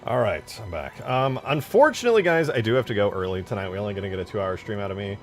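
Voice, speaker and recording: Low voiced guy streamer thinking out loud, casual, music playing behind him. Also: lively intonation, quick pace. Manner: informal, thinking aloud. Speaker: game modding streamer. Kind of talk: casual livestream chat.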